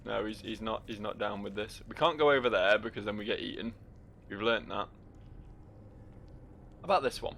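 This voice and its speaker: A man's voice: lowish voice, normal speed, gravelly, pauses often, a bit bright, slight background noise. A man giving casual, joking let's play commentary, rambling and teasing as he talks to the game's character. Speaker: gaming video host.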